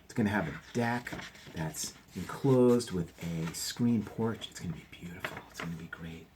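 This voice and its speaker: A man's voice: low voice, normal speed, background noise. A male teacher reading a picture book aloud to children, stopping for chatty asides and sometimes dropping to a whisper.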